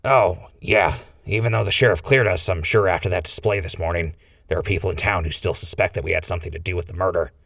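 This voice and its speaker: An actor playing a glib, wry male fugitive with a gravelly voice in a post-apocalyptic audio drama. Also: low voice, quick pace, fairly bright tone, dry recording, clean studio sound, far from the mic, heavily acted. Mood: nervous bluffing. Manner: wry, bluffing. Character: Crispy, glib scheming male wasteland fugitive.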